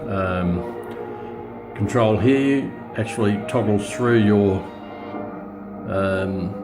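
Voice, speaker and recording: A man giving a casual, unscripted product demonstration as a reviewer, hesitant and halting as he thinks aloud. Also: lowish voice, music in the background. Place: outdoors or by a window in bright daylight, filming a radio.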